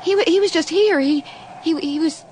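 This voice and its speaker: A woman's voice: very high voice, darkish tone. An actress playing a shaken, delirious young woman who stammers and repeats herself in a radio horror drama. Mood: dazed, faltering. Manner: halting, stammering, repeating words. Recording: noisy old radio drama recording.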